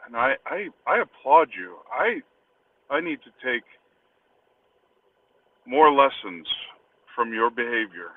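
A man speaks slowly and reflectively, pausing to find words in a casual voice message. The sound is thin and phone-like, with echo and some distance from the microphone. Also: mid-range voice. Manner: halting, reflective, searching for words. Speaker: caller leaving a voice message.

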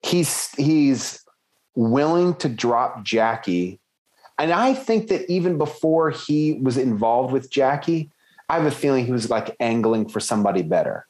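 A man's voice: mid-range voice, unhurried. Man musing through a mystery theory. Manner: thinking aloud, hedging, lively emphasis. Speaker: podcast co-host. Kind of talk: casual book-club chat.

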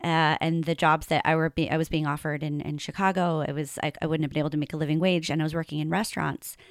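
A woman's voice: low-pitched, level tone, fast talker, no echo. Chatty woman host, dry close sound. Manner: chatty, laughs often, many fillers. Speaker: podcast host. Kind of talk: casual interview chat.

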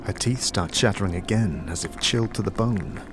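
A man's voice: on the low side, a little fast, music in the background. A man giving dramatic scripted narration as the storyteller of an audio drama. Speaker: narrator and game master-style storyteller, also voicing the hero.

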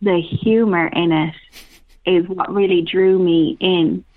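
A woman speaks as a theatre director being interviewed. Her voice sits slightly low and comes through a narrow, phone-like connection in a conversational style. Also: fairly even intonation, slow. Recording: narrow, thin phone-like line.

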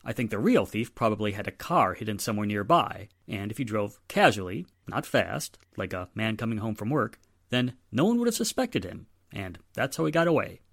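A woman acting a steady, earnest male police inspector in a 1940s-style radio drama. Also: rumbling low, a little fast, no room sound, studio quality, exaggerated voice. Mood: matter-of-fact.

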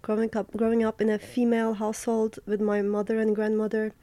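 A young woman from Turkey, an artist answering interview questions in a thoughtful, halting way, with many fillers and restarts. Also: fairly high pitch, monotone, mellow, no room sound.

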